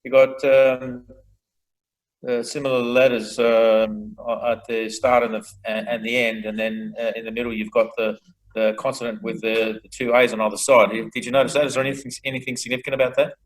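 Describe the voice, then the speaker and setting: A man's voice: mid-range voice, level tone, brisk, rough recording. A man in a video-call study group asking a question, hesitant with many fillers and restarts.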